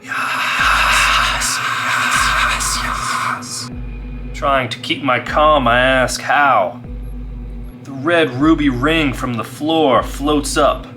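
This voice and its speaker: A man's voice: mid-range voice, fairly even intonation, slow pace, loud music behind the voice. A man who hosts a gaming channel, talking to his audience and telling stories of solo play. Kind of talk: dramatized horror story narration and casual review.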